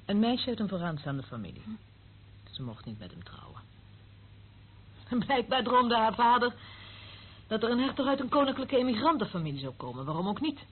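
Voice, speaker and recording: A woman's voice: a little high, big pitch swings, normal speed. An actress playing a cynical female eavesdropper in a radio play, sardonic and theatrical, relishing what she knows. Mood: scornful. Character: cynical, gloating female eavesdropper of a secret service. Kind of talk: radio drama acting. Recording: narrow tinny old radio recording, faint noise.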